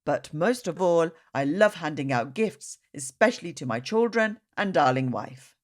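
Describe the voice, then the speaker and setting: A woman reading the words of tender, devout family members in a children's storybook, gently and earnestly. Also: sits low, animated, moderate pace.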